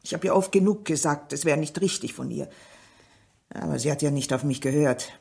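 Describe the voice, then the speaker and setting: A woman's voice: low voice, fairly quick, creaky, darkish tone. An actress playing a guarded, uneasy middle-aged village mother in a German radio play, terse and defensive in her answers. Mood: reproachful.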